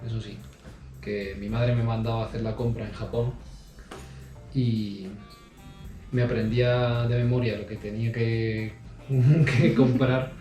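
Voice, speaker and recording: A man with a mellow, dark tone talks through his answers, pausing often and restarting his sentences. His voice has almost no rise and fall. He sits back from the microphone in an echoey room with faint music underneath. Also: medium pitch, steady tone, recorded from a distance, muffled recording. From Spain. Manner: hesitant, many fillers and restarts. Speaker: interview guest. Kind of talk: casual interview answers. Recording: roomy sound from off mic.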